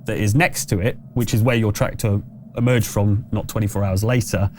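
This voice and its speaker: A man's voice: on the low side, steady tone, a little fast, darkish tone, slight background noise. A man working as game master, improvising tabletop narration in a conversational, explanatory way with hesitant phrasing.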